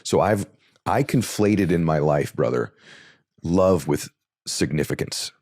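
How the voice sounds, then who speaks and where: Brief: male guest, deep earnest voice, rises to passionate emphasis, dry close recording. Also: lively intonation, a little slow, darkish tone, dry recording. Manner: earnest, builds to impassioned emphasis when telling a story. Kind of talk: conversational interview storytelling.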